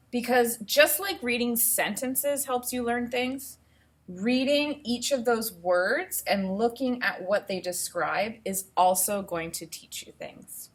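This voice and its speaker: A woman teacher giving an instructional read-aloud, explaining and thinking out loud as she goes. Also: medium pitch.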